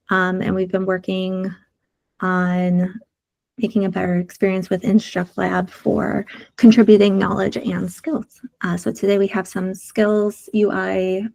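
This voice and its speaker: A woman's voice: mid-range voice, creaky, a little mellow. A woman with a sore throat presenting design prototypes in a video call meeting, explaining each screen step by step with frequent fillers. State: sore throat, losing her voice. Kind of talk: community meeting screen-share walkthrough.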